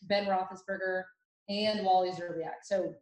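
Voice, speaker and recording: A woman's voice: mid-range voice, measured pace. Chatty woman in an echoey room. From Ohio, USA. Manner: chatty and animated, many fillers and restarts. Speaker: podcast guest. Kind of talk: casual storytelling interview. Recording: roomy echo.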